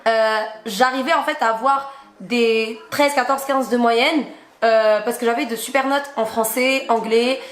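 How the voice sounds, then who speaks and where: A woman's voice: a little high, fairly even intonation, measured pace, reverberant. A young woman from Algeria with a slight Algerian accent, chatting casually and laughing often as a vlogger. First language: Kabyle or Arabic. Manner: bubbly, laughs often, reads report card aloud. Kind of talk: casual chat.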